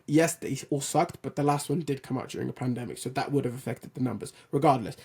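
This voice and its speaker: Loud, blunt man ranting. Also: medium pitch, quick pace, creaky, slightly rough. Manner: animated, blunt, swears casually, restarts sentences. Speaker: host of a film and TV news show. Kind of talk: opinionated solo commentary rant.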